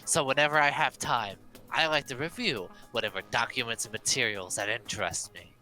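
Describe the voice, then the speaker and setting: A man's voice: medium pitch, fairly quick, bright, forceful tone, soft background music. A man voicing a haughty, pompous young heir from a mystery game, declaiming with relish. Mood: self-satisfied.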